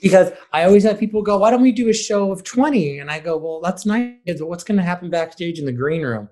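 A man who directs high school theater, answering interview questions casually and acting out bits of imagined dialogue in an animated way. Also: fast.

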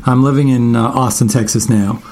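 A man from the US East Coast hosting a guitar radio show, asking questions in a relaxed, chatty way with fillers and restarts. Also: mid-range voice, fairly quick, creaky, a little hiss or hum. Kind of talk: casual radio interview.

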